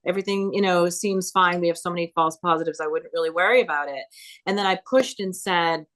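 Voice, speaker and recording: A woman in her fifties tells her own story to a public meeting. Her voice sits a little low, and she talks in an earnest, conversational way, often slipping into quoted dialogue. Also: fairly quick, a bit bright. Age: 50s. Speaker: guest speaker, cancer survivor. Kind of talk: personal account at a public meeting.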